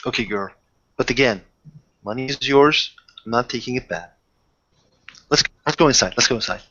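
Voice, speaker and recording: A protective high-ranking werewolf played by a man at a gaming table, speaking at a middle pitch. He is earnest and insistent, circling back over his words haltingly, on a muffled lo-fi recording. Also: pushed and bright, slightly rough. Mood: protective, reassuring. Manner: halting, repetitive, earnest pleading. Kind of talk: improvised tabletop roleplay dialogue.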